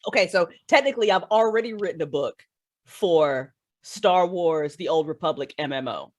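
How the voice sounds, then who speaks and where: A woman chatting casually as a podcast guest, informal and effusive, quick to laugh. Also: fairly low pitch, expressive, dry recording. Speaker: podcast guest, writer and audio fiction creator. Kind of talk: casual chat.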